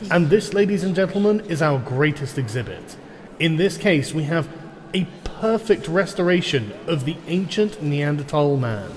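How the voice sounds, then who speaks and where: In a long reverberant hall, a proud museum guide, acted by a man presents in formal radio-drama style. His voice is fairly high and declamatory, with faint noise around it. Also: animated, moderate pace, close to an everyday voice. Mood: proud, instructive. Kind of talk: old-time radio drama acting.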